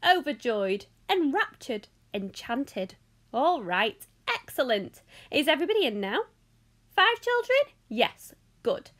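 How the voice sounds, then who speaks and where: A woman voicing an effusive, excitable old male factory owner in a high, fluty voice for a storybook. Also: takes it slowly, exaggerated voice. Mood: gushing, excited. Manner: gushing, exclamatory, polite.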